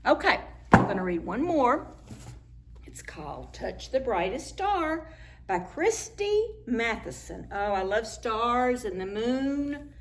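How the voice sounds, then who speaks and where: Woman, children's librarian, high lively voice, sing-song story reading, soft whispers. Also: slow pace, slight background noise. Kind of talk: preschool story time read-aloud.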